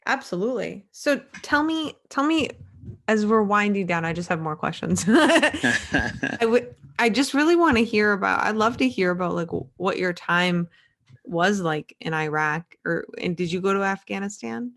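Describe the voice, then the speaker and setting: A woman's voice: mid-range voice, lively intonation, normal speed, a bit bright. A woman hosting a podcast, interviewing a guest and reacting with short, encouraging replies. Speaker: podcast host. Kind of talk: casual interview.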